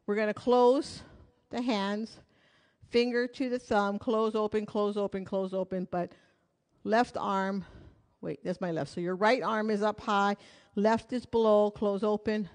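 A woman's voice: medium pitch, a little slow. A middle-aged woman teaching hula in a small indoor room, counting beats and half-singing lyrics in a chatty, playful way while she dances. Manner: chatty and playful, jokes and asides. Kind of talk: dance instruction while dancing. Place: small indoor studio room with curtains and wooden floor.

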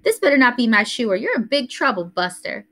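A woman voicing a frightened little girl who scolds and threatens to tell on her brother, with a shrill, whiny voice. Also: sing-song, fairly quick, sharp tone, heavily acted. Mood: scolding.

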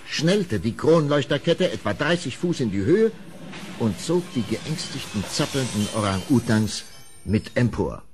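A man narrates a radio play in a deep voice with wide, animated swings of pitch. His delivery is grave and theatrical, on an older recording with some background noise. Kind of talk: dramatic scripted storytelling for a radio play. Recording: old audio drama recording, background noise.

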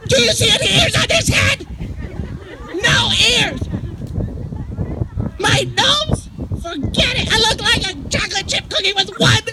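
A woman voicing a whiny, self-pitying male puppet creature with melodramatic, wailing delivery and drawn-out words in a live puppet show. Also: very high voice, animated, crowd noise. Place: outdoors on a lawn, behind puppet stage. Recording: outdoor recording with audience noise.

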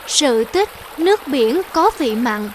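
A woman's voice: high-pitched, sing-song, takes it slowly, a little hiss or hum, poor audio. A woman narrating a folk tale for children in a storytelling style. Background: children's music.

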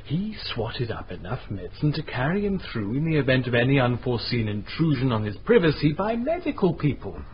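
An actor playing an educated gentleman in a radio mystery, speaking in an expressive, literary manner. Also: mid-range voice, a little fast, hardly any pauses, close to an everyday voice. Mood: animated, musing. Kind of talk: radio mystery drama acting. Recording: dull radio recording, faint noise.

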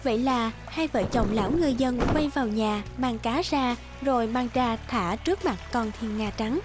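Female storyteller, high voice, scripted fairy-tale narration over clear background music. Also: normal speed.

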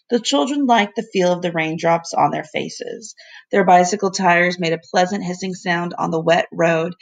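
Gravelly woman reading a storybook. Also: on the low side, highs cut off. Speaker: teacher reading aloud to her class. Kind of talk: reading a children's novel aloud.

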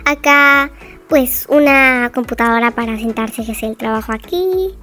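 A woman's voice: fairly high pitch, moderate pace, bright, forceful tone, soft background music. A woman giving a chatty, gushing voice-over tour of a game house, full of little fillers.

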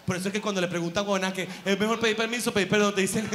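Man, stand-up comic, very high voice, rambling with put-on quotes, handheld mic on theater stage. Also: fairly even intonation, rapid-fire, noisy background. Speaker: stand-up comedian. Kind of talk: stand-up comedy. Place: large theater stage with live audience.

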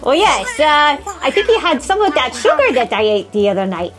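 A man doing a squeaky excitable potato goblin. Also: a little fast. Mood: excited. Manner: stiff formal phrasing, blurts crude outbursts. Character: excitable male potato goblin puppet sidekick.

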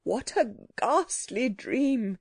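A woman voicing an obstinate, dreamy learned gentleman in a storybook reading, rapt and breathless in manner. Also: a little high, lively intonation, drawn-out words, husky, dry recording, clean studio sound, exaggerated voice. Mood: dazed.

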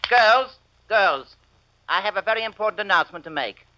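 An actor playing a fussy, ineffectual office manager, formal and hesitant, in a vintage radio comedy. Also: male voice, high-pitched, animated, normal speed, bright, forceful tone, slight background noise. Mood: flustered. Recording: muffled, lo-fi old radio recording.

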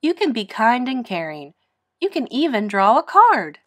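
A woman voicing a loving, patient mother pig, nurturing and expressive, in a storybook read-aloud. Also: on the high side, moderate pace.

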